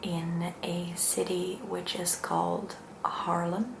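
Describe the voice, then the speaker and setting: A Dutch woman with a Dutch accent, speaking English as a second language in a gentle, hushed, soft-spoken ASMR style as she hosts. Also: on the low side, flat delivery, slow pace, gravelly, reverberant, background noise. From Netherlands. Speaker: ASMR video host.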